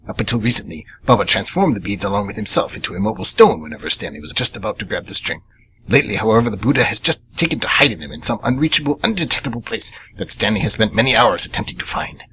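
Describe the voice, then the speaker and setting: A man narrates a scripted story in a low, bright voice. He reads at a quick clip for a radio theatre piece. Some background noise sits under the recording. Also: low-quality recording.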